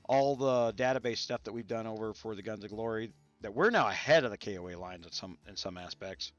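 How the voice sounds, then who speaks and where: A man with a gravelly, irritated voice, hosting a rambling casual chat about a strategy game and stopping now and then to cough. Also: lowish voice, fairly quick, dull audio, soft background music. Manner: rambling, many restarts and fillers. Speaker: gaming channel host. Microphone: studio mics set up to spare his voice.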